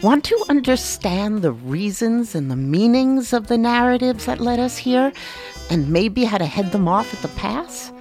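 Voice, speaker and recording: A woman who hosts a radio show reads a scripted promo with a dramatic, measured delivery. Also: a little high, lively intonation, a little mellow, faint music behind. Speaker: radio host reading a promo.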